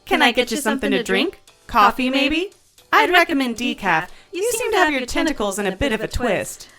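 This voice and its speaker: A woman's voice: high-pitched, big pitch swings, a little fast, sharp tone, soft background music, full character voice. A woman playing a brassy, motherly diner hostess in an audio drama, with a sassy, folksy way of talking. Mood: teasing, probing.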